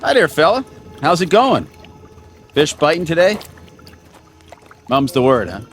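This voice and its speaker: A man's voice: a little high, huge pitch swings, a little slow, a bit bright, soft background music, full character voice. Brash, teasing young American crewman. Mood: excited, calling out. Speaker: actor in a radio drama. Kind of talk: radio drama acting.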